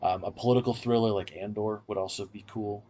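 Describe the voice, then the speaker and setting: A man with a low, rough-edged, husky voice hosts a podcast review. He talks casually, explaining things and offering his own opinions as he goes. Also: fairly even intonation, brisk, dark tone, dull audio. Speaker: podcast host. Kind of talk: casual media review discussion.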